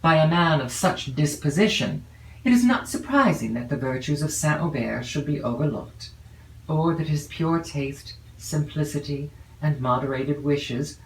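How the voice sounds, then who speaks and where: An echoey home recording of a woman narrating an old literary novel. She reads formally and with care, setting each phrase down deliberately at a measured gait. The room is clearly audible around her voice. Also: low-pitched. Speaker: audiobook narrator.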